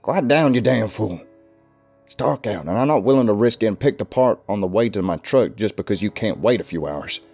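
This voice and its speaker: A man's voice: low-pitched, lively intonation, normal speed, gravelly, a little mellow, husky, soft background music, exaggerated voice. A man with a Southern US accent voicing a stern, ominous older recluse.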